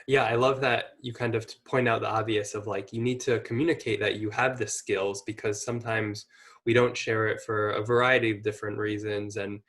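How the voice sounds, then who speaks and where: A man's voice: on the low side, flat delivery, brisk, a bit bright. A young man interviewing a guest over a video call, speaking in a friendly, affirming, casual way. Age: young adult.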